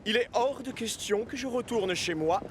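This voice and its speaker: High-voiced, pompous minister. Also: male voice, animated, moderate pace, crowd noise. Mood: displeased. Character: dignified, demanding male government minister.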